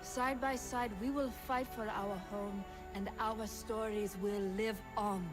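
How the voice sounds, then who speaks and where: A woman playing a proud, resolute Na'vi clan storyteller, speaking in a measured, ceremonial way in a game cutscene. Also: mid-range voice, fairly even intonation, lightly acted. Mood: resolute, rallying. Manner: measured, declamatory. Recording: game music behind the voice. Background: music.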